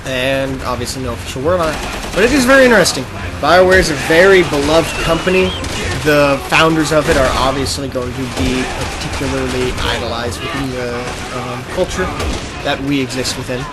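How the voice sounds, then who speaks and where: A man's voice: mid-range voice, brisk. A man co-hosting a podcast, chatting casually and a bit ramblingly about gaming news while playing a video game. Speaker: podcast co-host. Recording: noisy, with game sounds behind. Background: video game audio.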